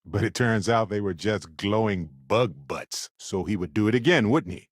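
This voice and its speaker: An actor playing a chatty, good-natured space explorer in a video game, speaking in a playful, conversational way with wry expressiveness. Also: male voice, fairly low pitch, animated, normal speed, no room sound, clean studio sound. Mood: reflective, low-key. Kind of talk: video game companion voice lines.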